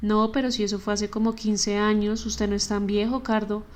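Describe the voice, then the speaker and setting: A man's voice: high-pitched, little pitch movement, moderate pace, lightly acted. A woman voicing a wary young man who answers in short, guarded lines, with little rise and fall.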